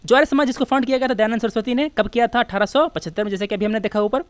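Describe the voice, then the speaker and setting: A woman's voice: fairly high pitch, rapid-fire, no room sound. A woman with an Indian accent teaching an exam prep lesson, switching between Hindi and English and often asking questions that she answers herself. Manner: didactic, asks and answers own questions. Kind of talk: instructional lecture walking through exam questions.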